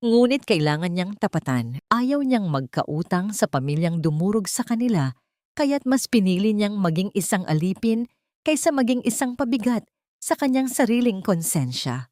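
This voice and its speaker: A woman narrating a scripted dramatic story in a solemn, deliberate, melodramatic manner. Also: lowish voice, darkish tone, dry recording, studio quality.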